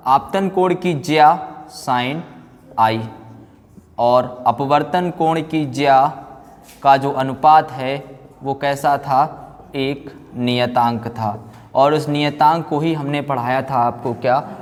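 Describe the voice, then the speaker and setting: A man teaching an exam-coaching class informally, asking questions and answering them himself, repeating key phrases and prompting his students. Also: medium pitch. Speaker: physics teacher. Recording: echoey hall-like room reverb.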